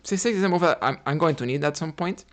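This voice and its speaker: A man's voice: fairly high pitch, fast talker. A man from Cuba streaming chess, thinking aloud about his moves, often adding "right?" and chatting with viewers. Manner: chats with viewers between moves. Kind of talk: live chess commentary while playing.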